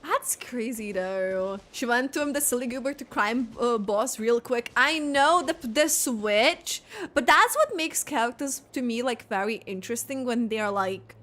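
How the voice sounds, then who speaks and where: A woman giving casual, excitable, rambling reaction commentary while playing a video game. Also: fairly high pitch, normal speed, hardly any pauses.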